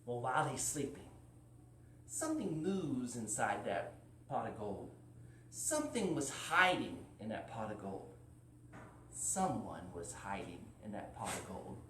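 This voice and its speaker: A man's voice: on the low side, huge pitch swings, unhurried, pushed and bright. A man hosting a live children's story time, informal and drawing out words for young listeners. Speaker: library storyteller and host. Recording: roomy, distant mic, faint noise.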